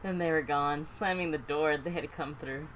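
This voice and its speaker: A woman's voice: low-pitched, moderate pace, fairly bright tone, a little hiss or hum, rough recording. A woman reading visual novel narration aloud as a let's play host, with some casual banter.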